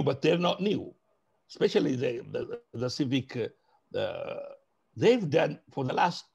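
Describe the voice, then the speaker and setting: A man's voice: on the high side, gravelly, breathy edge. A middle-aged man from Ethiopia speaking passionately and off the cuff as an interview guest, repeating phrases for emphasis. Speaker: interview guest, diaspora advocate. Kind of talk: impassioned unscripted interview talk.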